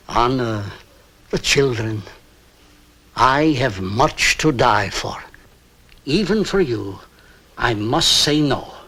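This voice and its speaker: A stubborn old refugee bent on revenge. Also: male voice, mid-range voice, full character voice. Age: older. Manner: calm, stubborn, quietly ironic. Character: aging Hungarian refugee man, grimly resolved on revenge. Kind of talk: 1960s TV crime drama acting.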